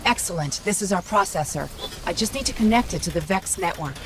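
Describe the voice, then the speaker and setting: An actress playing a witty, upbeat little AI companion in a video game, brisk and confident. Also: female voice, on the low side, lively intonation. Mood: focused. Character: witty, upbeat female Ghost, small AI companion. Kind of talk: scripted video game voice acting. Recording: music playing behind the voice.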